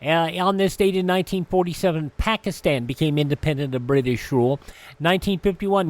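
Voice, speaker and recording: Lively high-pitched male radio host. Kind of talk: scripted radio almanac with casual asides.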